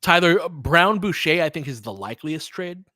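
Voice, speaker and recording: A man's voice: a little high, animated, moderate pace, bright, forceful tone, no room sound, studio quality. A man with an American accent giving casual live basketball commentary, thinking aloud and chatting conversationally with listeners. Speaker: host of fan play-by-play livestream.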